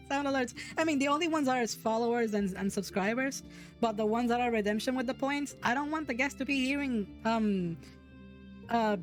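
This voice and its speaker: A woman's voice: fairly high pitch, normal speed, soft background music. A woman whose first language is Spanish, hosting a casual streamed chat, rambling with lots of restarts and frequent giggles. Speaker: podcast host.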